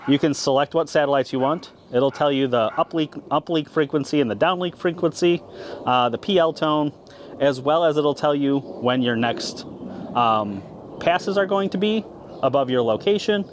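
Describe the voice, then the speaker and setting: A man's voice: a little high, normal speed, a little hiss or hum. An American man talking casually as the host of an amateur radio video. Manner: says call signs in phonetic alphabet. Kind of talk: casual vlog talk and radio call-sign exchanges.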